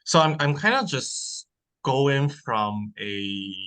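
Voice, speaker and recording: A man's voice: mid-range voice, very animated, slow, a bit bright, smooth. A man from Taiwan, a translator on a panel, answering shyly with hedges and fillers. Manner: shy, hedging, with nervous fillers. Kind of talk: conversational answer in a panel discussion.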